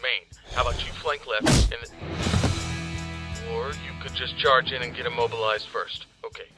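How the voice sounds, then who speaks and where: A man playing an exasperated, talkative soldier trying to lead his squad in an animated series, fussy and sarcastic. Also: mid-range voice, unhurried, over music, natural voice. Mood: coaching, sarcastic. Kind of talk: animated sci-fi combat dialogue.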